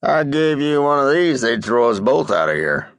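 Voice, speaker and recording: A man's voice: medium pitch, very animated, moderate pace, dry recording, clean studio sound, pitched above the performer's own voice. A man voicing a foul-mouthed, cantankerous old cowboy with a blustering drawl in an audiobook reading. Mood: grumbling.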